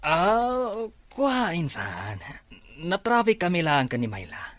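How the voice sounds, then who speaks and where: A man's voice: sits high, wildly swooping pitch, slow, a bit bright, dry recording, heavily acted. A man with an Ilocano accent playing a sheepish, evasive office employee in a radio comedy drama. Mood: evasive, startled.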